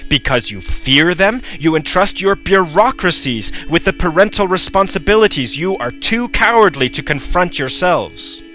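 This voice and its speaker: A man gives a scripted dramatic reading of a declaration in a solemn, deliberate, oratorical manner. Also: sits high, lively intonation. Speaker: reader of a written manifesto. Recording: voice over a loud music bed. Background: loud music.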